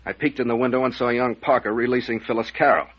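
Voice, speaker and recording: A man plays a commanding captain in a vintage radio mystery serial. He is brusque and sardonic, with a husky, medium-pitched voice. The old recording is dull and muffled. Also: steady tone, quick pace, close to an everyday voice.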